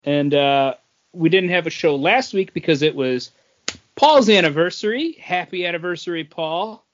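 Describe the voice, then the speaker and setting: A man with a moderately high voice chats casually as a podcast co-host. His delivery is informal and conversational. The recording sounds a little dull and lo-fi. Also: lively intonation, normal speed. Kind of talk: casual chat.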